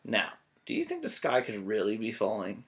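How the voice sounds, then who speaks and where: A male teacher reads aloud to children. His pitch swings hugely up and down, and he playfully pauses to put questions to his listeners. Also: wildly swooping pitch, vocal fry. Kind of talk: animated children's story read-aloud.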